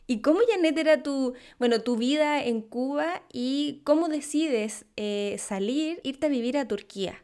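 High-voiced woman hosting an interview. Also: a little slow, few pauses, a little mellow. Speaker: podcast host and interviewer. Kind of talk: scripted introduction and interview.

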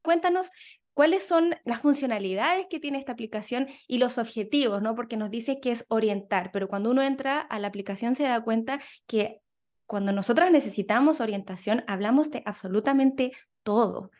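A woman hosting a podcast, interviewing a guest with lively, curious questions. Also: on the high side, normal speed. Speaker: podcast host.

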